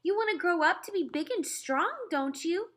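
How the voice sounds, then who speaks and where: A worried mother from a children's storybook, voiced by a woman reader. She pleads and coaxes insistently in a raised, bright voice. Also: very high voice, expressive, moderate pace, pitched above the performer's own voice. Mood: coaxing. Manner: coaxing, insistent.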